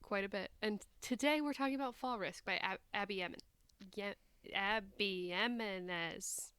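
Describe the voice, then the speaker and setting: A woman's voice: mid-range voice, moderate pace, a bit bright, smooth, no echo. A woman with an American Midwestern accent co-hosting a podcast, chatting casually in a candid, gossipy, self-deprecating way. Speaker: podcast co-host. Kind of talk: casual chat.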